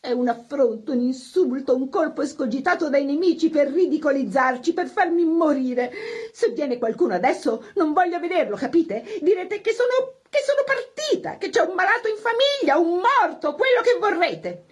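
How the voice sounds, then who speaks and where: A woman voicing a vain, highly strung society hostess with an agitated, emphatic, theatrical delivery in a storybook. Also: expressive, moderate pace. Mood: furious, hysterical.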